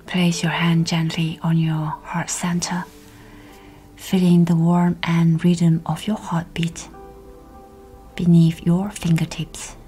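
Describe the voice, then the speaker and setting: A woman with a slightly low voice leads a guided meditation very slowly. Her delivery is soft and breathy, often close to a whisper, and soothingly measured. Music plays behind her. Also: little pitch movement. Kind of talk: guided meditation, scripted narration. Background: background music.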